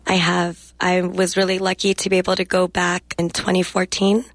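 A young woman guest speaking reflectively in a radio interview and reading her poetry in a measured way. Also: lowish voice, completely flat delivery, dry recording. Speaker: guest poet.

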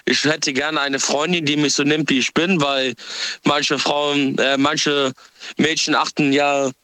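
A young man calling in to a radio show, talking haltingly with many pauses and fillers. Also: on the high side, little pitch movement, sharp tone, dry recording. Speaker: caller. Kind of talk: phone-in chat.

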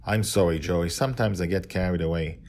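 A man's voice: low-pitched, fairly quick, a little mellow, a little hiss or hum. A man playing an earnest father in a storybook tale, stern but loving toward his young daughter. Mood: tender, apologetic. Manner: earnest, parental.

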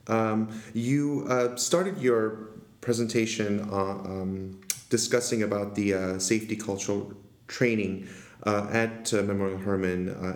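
A man's voice: lowish voice, vocal fry. Hesitant man interviewer, lots of uhs. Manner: hesitant, many uh and um. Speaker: resident interviewer. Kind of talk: podcast interview. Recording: echoey room.

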